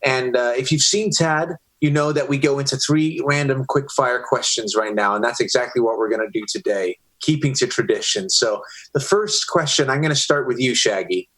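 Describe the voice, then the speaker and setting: A man hosts with hyped-up, enthusiastic energy over a video call. His voice sits at an average middle pitch. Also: moderate pace, few pauses, a bit bright, low-quality recording. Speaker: podcast host. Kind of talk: upbeat interview hosting.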